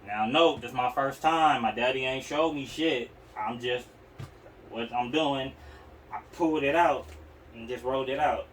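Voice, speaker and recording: A man's voice: mid-range voice, moderate pace. A young man with an Oakland accent, telling personal stories on a podcast in a slangy, profane, rambling way. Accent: Oakland, California. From Oakland, California. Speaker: podcast host. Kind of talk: casual, candid personal storytelling. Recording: distant mic, noisy room sound.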